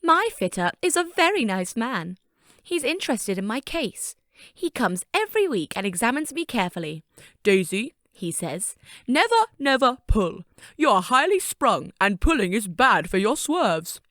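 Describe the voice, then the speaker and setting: A woman's voice: a little high, sing-song, moderate pace, fairly bright tone, no echo, studio quality. A woman voicing a vain, haughty, easily flustered female railcar engine in a theatrical children's story. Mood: indignant, haughty. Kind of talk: character voice for a children's story fandub.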